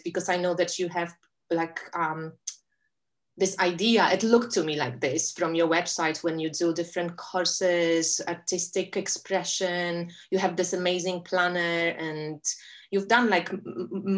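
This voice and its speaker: A woman's voice: fairly low pitch, steady tone, measured pace. A woman hosting a casual interview, asking friendly, rambling questions full of fillers like "you know". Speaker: interview host.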